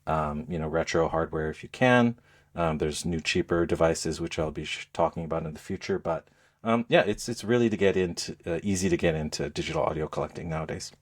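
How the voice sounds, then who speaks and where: Low-pitched man chatting casually. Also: fairly quick. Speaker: podcast co-host. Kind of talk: casual chat about gadgets.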